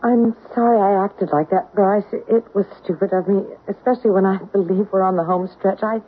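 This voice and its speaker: An actress playing an anxious, apologetic wife in a radio drama, earnest and emotional. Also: female voice, medium pitch, a little fast, lightly acted. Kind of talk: 1970s radio drama acting. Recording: tinny, hissy old radio recording.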